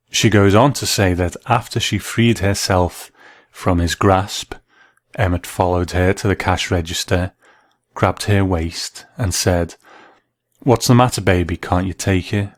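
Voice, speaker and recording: Low-voiced man narrating, dry close sound. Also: no echo. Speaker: host narrator. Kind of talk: scripted documentary narration.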